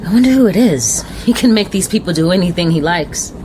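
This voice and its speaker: A woman playing a composed but fearful woman on the run in a radio drama, grave and measured. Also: expressive, close to an everyday voice. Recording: noisy radio drama, train rumble behind.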